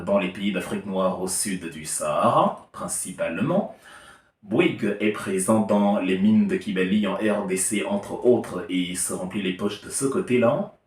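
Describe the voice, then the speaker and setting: A man's voice: sits low, darkish tone. A man giving a heated political opinion monologue, emphatic and argumentative with an ironic edge. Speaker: political commentator. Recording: echoey room, distant mic.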